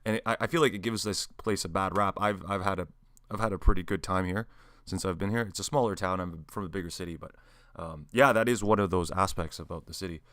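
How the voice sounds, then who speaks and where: A man with a bright, crisp voice hosts a casual chat, tripping over his words and often tossing in "man" and "dude". His pitch sits on the low side. The sound is dry and close. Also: quick pace, dry recording. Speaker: podcast host. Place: city in Alberta, Canada.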